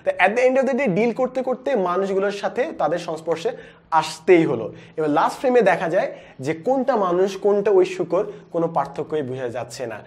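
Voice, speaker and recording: High-voiced young Bangladeshi man explaining. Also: fairly quick. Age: 20s. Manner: animated, explanatory, mixes in English words. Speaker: book reviewer, educational video host. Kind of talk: presenting a book review to camera. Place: indoor studio with plain backdrop. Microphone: lapel mic.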